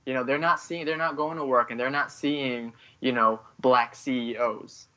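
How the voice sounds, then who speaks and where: A man from Vietnam speaks as a podcast guest, pausing often and filling the gaps with "you know" as he works through his thoughts. His voice sits at an ordinary pitch for a man. The audio is dull and lo-fi. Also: fairly even intonation, bright, forceful tone. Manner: halting, heavy on "you know" fillers. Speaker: guest writer. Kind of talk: podcast interview.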